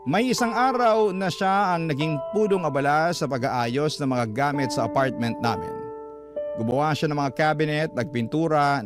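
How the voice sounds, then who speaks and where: Male Filipino narrator for dramatic letter readings, mid-pitched, prominent music underneath. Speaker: story narrator.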